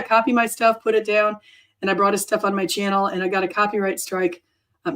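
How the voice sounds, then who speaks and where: Woman host, froggy hoarse voice, rambling unscripted livestream chat. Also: mid-range voice, a bit bright. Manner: rambling, self-correcting, chatty. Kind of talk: off-the-cuff livestream talk.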